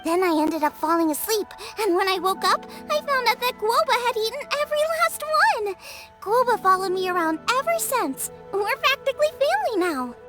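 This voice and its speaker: Bubbly, high-pitched teen girl cook. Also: very animated, fairly quick, loud music behind the voice. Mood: chatty. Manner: bubbly, chatty, eager. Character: cheerful, friendly teenage girl cook. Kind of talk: scripted game voice acting.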